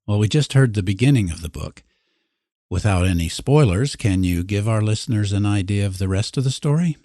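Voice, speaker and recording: Low-voiced man hosting, dry close sound. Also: expressive, brisk, mellow, dry recording. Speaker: podcast host, interviewer. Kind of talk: prepared interview questions.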